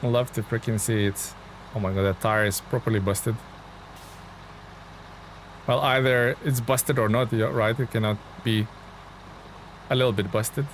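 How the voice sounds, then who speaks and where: A man's voice: on the low side, steady tone, a little slow, long pauses, poor audio. A man from Lithuania, chatting in a friendly, informal way as he thinks aloud on a gaming livestream. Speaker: video game livestreamer. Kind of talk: casual gaming stream chat and commentary. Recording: clear vehicle and engine noise behind. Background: truck game sounds.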